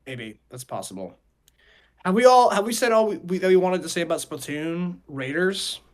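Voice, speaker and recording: A man hosting a casual chat show, talking in a rambling, halting way and often repeating his words. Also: sits high, sing-song. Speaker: podcast host.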